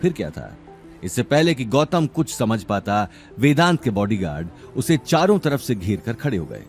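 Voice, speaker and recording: A man's voice: medium pitch, sing-song, moderate pace, faint music behind. A man narrating a scripted audio drama series in a dramatic storytelling style.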